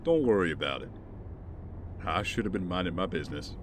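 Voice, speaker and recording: A protective, easygoing man played by an actor in an audio drama. He has a low voice and a gentle, conversational delivery, over faint noise. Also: lively intonation, fairly quick. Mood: deflated. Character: caring, easygoing male driver, protective and concerned.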